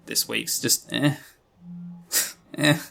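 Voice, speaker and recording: A man's voice: medium pitch, fairly even intonation, drawn-out words, vocal fry, pushed and bright, a little hiss or hum. A man co-hosting a podcast in casual, unscripted chat, with wry, self-deprecating humour. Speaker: podcast co-host.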